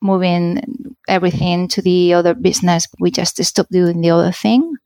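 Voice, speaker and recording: Spanish-accented woman, low dry voice. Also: level tone, moderate pace, a little mellow, dry recording. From Spain. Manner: hesitant, searching for words, restarts. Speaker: guest, shop owner. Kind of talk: casual interview answers.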